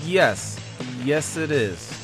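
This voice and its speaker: Guy doing a comedy podcast ad, highish voice, really animated banter. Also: very slow, over music. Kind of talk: scripted comedic promo banter.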